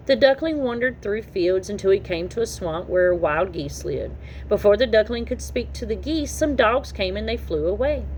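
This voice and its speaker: A woman reading a children's story aloud in an expressive, animated storytelling manner. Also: a little high, rough recording. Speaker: children's story reader and channel host. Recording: noticeable background noise.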